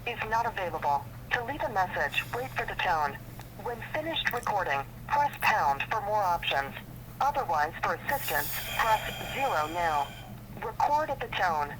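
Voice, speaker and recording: A woman's voice: mid-range voice, sing-song, normal speed, a bit bright. A woman's voice giving automated voicemail prompts over the telephone. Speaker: voicemail system announcer. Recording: noisy telephone line.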